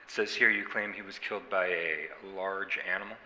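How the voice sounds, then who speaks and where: Deep, hard-edged homicide detective. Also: male voice, fairly even intonation, pushed and bright, long reverb tail, slight background noise. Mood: folksy, probing. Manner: folksy, deliberate, sarcastic. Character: gruff small-town homicide detective, sardonic, needling, quietly menacing. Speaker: police detective. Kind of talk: audio drama interrogation acting.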